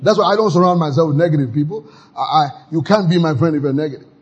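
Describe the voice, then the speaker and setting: A man preaching with a deeply husky, rough-edged voice pitched a little high. He delivers his sermon exuberantly, breaking into loud shouts of praise between readings of scripture. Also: fast, dull audio. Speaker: pastor.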